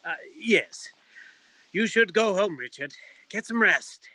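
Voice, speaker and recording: A man's voice: sits high, expressive, takes it slowly, pushed and bright, no room sound, exaggerated voice. An actor playing a formal, dryly witty pub owner in a comic detective stage play, fussy and precise in his wording. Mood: dry, concerned. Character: proper, dryly witty male pub owner, loyal friend. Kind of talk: comic noir stage acting.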